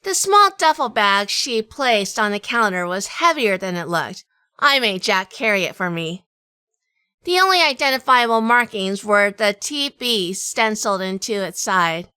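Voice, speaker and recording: A woman acting a tough, wary noir heroine who tells her own crime story in an audio drama. Her voice is bright and very clear, recorded close and dry. She delivers scripted narration with hard-boiled self-possession. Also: medium pitch, animated, unhurried, no room sound. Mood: wary, cool.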